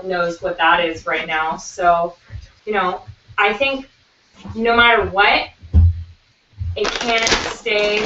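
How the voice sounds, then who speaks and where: A woman speaking in a flat, monotone way, chatting casually on a live stream and reading out viewer messages. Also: fairly low pitch. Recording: echoey room from far off, dull and noisy.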